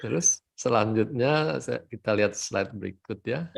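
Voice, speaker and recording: A man's voice: medium pitch, normal speed, vocal fry. A man with an Indonesian accent hosting a conversational interview, asking follow-up questions and restating answers. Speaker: host of a testimonial livestream, addressed as doctor.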